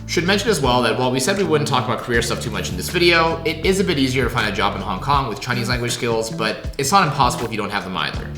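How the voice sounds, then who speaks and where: A young man who grew up in Canada, co-hosting a casual Q&A vlog in a measured, explanatory way. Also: medium pitch, lively intonation. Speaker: vlog co-host, former lawyer. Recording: echoey room with background music.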